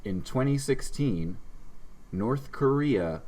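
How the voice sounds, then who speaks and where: A man's voice: medium pitch, animated, takes it slowly, darkish tone. A man hosting a comedy podcast, chatting casually in a wry, sarcastic, joking way.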